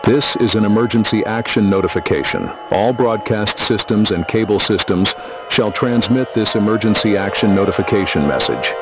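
A man reading a scripted emergency broadcast announcement in a formal, measured manner. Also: fairly low pitch, music in the background, poor audio.

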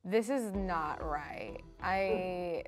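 A woman's voice: on the low side, very slow, music in the background. A young woman bantering casually and jokingly as a contestant on a studio game show. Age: young adult. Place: indoor studio set.

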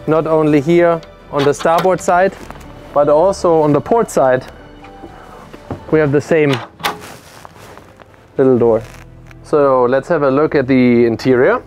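A man's voice: a little high, measured pace, long pauses, a little mellow, soft background music. Man giving a methodical yacht walkthrough. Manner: explanatory, methodical, lists features. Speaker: boat presenter. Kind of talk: product walkthrough. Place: aboard a motor yacht on a lake, on deck and in cabins.

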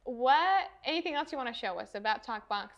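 Young woman interviewer, slightly high voice. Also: animated, a little fast, dull audio. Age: young adult. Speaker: show host, interviewer. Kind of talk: casual interview questions. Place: indoor room, on a couch or chair.

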